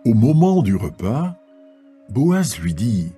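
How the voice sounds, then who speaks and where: A man narrates scripture with a lively rise and fall, taking his time. The voice is warm and dark, over faint music. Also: medium pitch, slow, husky.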